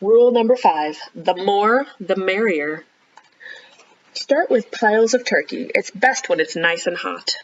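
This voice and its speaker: A woman's voice: mid-range voice, measured pace. Woman reading to kids, slightly muffled sound. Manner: animated, encouraging, asks listeners questions. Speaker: children's librarian hosting storytime. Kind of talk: expressive picture-book read-aloud with chatty asides to young children.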